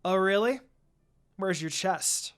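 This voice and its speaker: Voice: medium pitch, slow, smooth, close to an everyday voice. A narrator voicing a sly, unbothered young female ninja with a dry, mocking, understated way of talking.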